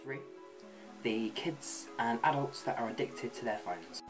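A young man hosts a comedic list video from a bedroom. His voice is medium in pitch, picked up by a camera at arm's length, with music clearly playing behind him. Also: lively intonation, unhurried. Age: young adult. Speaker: video host. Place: small bedroom.

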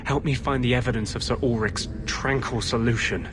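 A man's voice: medium pitch, fairly even intonation, fairly quick, noisy background, lightly acted. An actor playing an earnest, bitter rebel mage in his thirties in a fantasy game, speaking intensely. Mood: earnest, urgent.

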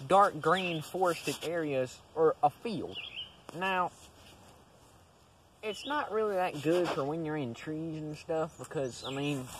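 High-voiced young man, lively delivery. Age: young adult. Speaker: host. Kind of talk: casual instructional presenting to camera. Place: outdoors in woodland. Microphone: handheld camera a few metres away. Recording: outdoor ambient noise, camera mic.

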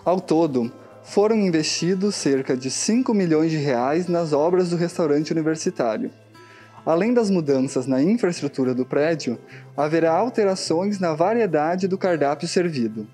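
A man with a Brazilian Portuguese accent reads a campus news report in a steady, measured way. His voice sits a little high and sounds mellow. Soft music runs under it. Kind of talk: scripted news narration.

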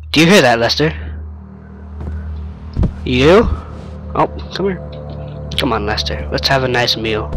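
A man's voice: medium pitch, lively intonation, measured pace, pauses often, fairly bright tone, faint music behind. A young man commenting on a horror game through a headset mic, jumpy and jokey as he talks to an imaginary sidekick. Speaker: game streamer.

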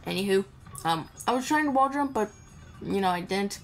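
Female gaming commentator, mid-pitched, casual and playful, wandering chatter aimed at the characters, game music underneath. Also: expressive, normal speed. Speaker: gamer playing and commenting. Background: video game music.

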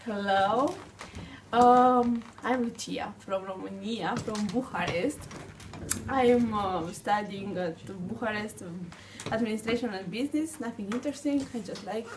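A young woman introducing herself to a camera in a small office, with many fillers and pauses. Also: medium pitch. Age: young adult. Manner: hesitant, filler-heavy. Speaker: team member. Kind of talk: casual self-introduction. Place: small office with green walls. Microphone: far camera. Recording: distant camera, faint hiss.

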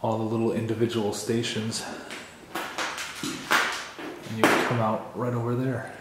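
A man in his thirties casually narrating a walkthrough of an abandoned school, relaxed and plain-spoken, filmed on a camera held at arm's length. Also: fairly low pitch, takes it slowly, very noisy. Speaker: urban explorer vlogger. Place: large empty abandoned school building, indoors. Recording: echoey hall with long reverb.

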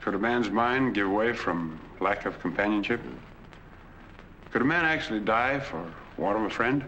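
A lonely, ailing middle-aged man in a 1940s drama. He speaks quietly and wearily in a lowish voice. Faint hiss sits under the old soundtrack. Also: normal speed, dull audio. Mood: brooding. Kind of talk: 1940s film acting.

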